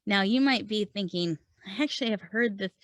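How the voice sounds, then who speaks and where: A woman's voice: mid-range voice, fairly quick, no echo. A woman podcast host coaching writers in a conversational, emphatic way, putting questions and points straight to the listener. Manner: emphatic, rhetorical, addresses listener directly. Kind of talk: conversational instructional podcast talk.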